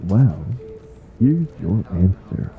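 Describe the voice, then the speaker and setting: A man's voice: deep voice, big pitch swings, extremely slow, phone-quality sound, exaggerated voice. A man playing a grumpy, exasperated male superhero in a comedic audio roleplay, with dry, weary sarcasm. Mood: fed up.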